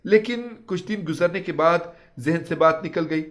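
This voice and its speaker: A man's voice: a little high, normal speed, a bit bright. A man reading a scripted first-person moral story as a storyteller.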